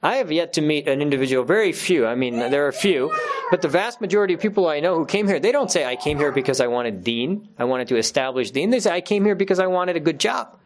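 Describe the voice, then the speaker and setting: A man's voice: on the high side, lively intonation, quick pace. A man speaks to his community at a gathering, delivering a spontaneous religious talk. His manner is earnest and emphatic. He often restates a line to drive it home. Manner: earnest, emphatic, repeats key phrases for stress. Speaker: religious teacher addressing his congregation.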